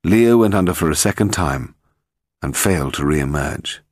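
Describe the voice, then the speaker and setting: A man reads a mystery audiobook. His voice is deep and moves expressively through the scripted prose. The sound is dry and close. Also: expressive, moderate pace, no room sound. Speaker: audiobook narrator. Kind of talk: scripted narration of a mystery novel.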